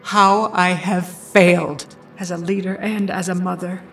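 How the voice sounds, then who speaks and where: Proud bitter villainess. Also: female voice, lowish voice, fairly even intonation, unhurried. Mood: bitter, wounded. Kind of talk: superhero audio drama acting. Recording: slightly distant, faint music.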